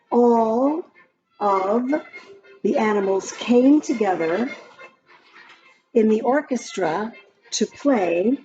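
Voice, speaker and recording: A woman reading a children's picture book aloud in a gentle, expressive storytime voice. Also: mid-range voice, highs cut off.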